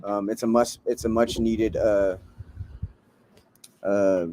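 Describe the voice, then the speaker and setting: A man's voice: lowish voice, level tone, slow, warm tone, a little hiss or hum. A man guesting on a casual podcast, an earnest youth mentor who speaks plainly with slang and laughs easily. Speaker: guest, youth mentor and hip hop artist. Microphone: remote call mic.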